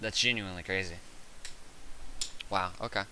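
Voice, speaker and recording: A man with a raspy, hoarse voice chatting casually on a game livestream, rambling and thinking aloud. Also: deep voice, fairly even intonation, normal speed, bright, forceful tone, a little hiss or hum. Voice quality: raspy, sore voice. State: hoarse from hours of talking. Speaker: game streamer, host. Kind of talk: casual livestream chat while gaming.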